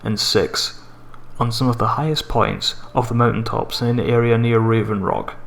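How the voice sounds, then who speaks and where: Man reading a creepypasta with slight creak. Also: medium pitch, fairly even intonation, moderate pace, a little hiss or hum. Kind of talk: scripted horror story narration. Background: background music.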